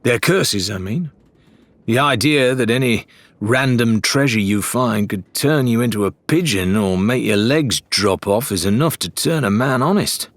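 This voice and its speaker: A man's voice: medium pitch, expressive, no room sound, pitched above the performer's own voice, lightly acted. Man voicing a thoughtful, level-headed pirate. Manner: measured, reflective.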